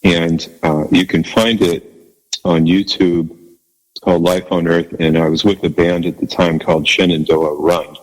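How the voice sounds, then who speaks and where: A man with a low bass voice, chatting as a guest in an interview, speaking haltingly and modestly with many restarts. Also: flat delivery, big-room sound, rough recording. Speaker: guest musician. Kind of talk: casual interview chat.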